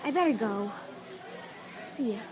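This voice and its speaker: A woman's voice: on the high side, animated, measured pace, a little mellow, some chatter behind, rough recording. A woman playing a hurt, easily wounded teenage girl in a dramatised audiobook.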